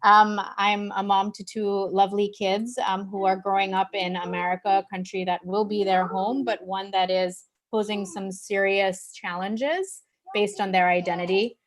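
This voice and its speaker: A woman's voice: medium pitch, little pitch movement, normal speed. Reflective woman panelist from Pakistan. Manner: reflective, frequent "um" fillers. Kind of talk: personal introduction in a panel discussion.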